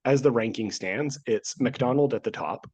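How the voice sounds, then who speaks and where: A man's voice: mid-range voice, moderate pace, a little mellow, breathy edge. A man hosting a casual history podcast, talking through a cold that nearly took his voice, joking and laughing often. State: nearly lost voice, sick. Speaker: podcast host. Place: home studio, remote.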